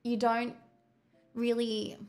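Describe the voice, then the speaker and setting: A woman's voice: a little high, monotone, slow, a little mellow, soft background music. A young woman streamer chatting casually and rambling to her viewers. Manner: chatty, rambling, talks to chat.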